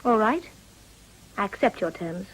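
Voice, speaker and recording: An actress playing a well-bred young society wife in a period radio drama, polite, refined and restrained in manner. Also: female voice, medium pitch, lively intonation, a little slow, breathy edge, rough recording. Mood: guarded, resolute.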